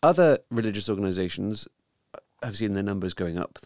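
A man hosting a weekly news talk show, speaking in a measured way with frequent ums. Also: on the low side, expressive, darkish tone, no echo, clean studio sound. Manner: measured, hesitant with many ums. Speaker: podcast host. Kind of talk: casual news discussion.

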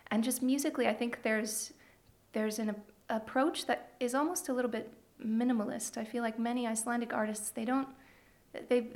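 Creaky-voiced Canadian woman, thoughtful. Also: a little high, steady tone, brisk, a little mellow. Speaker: musician guest. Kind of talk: casual radio interview.

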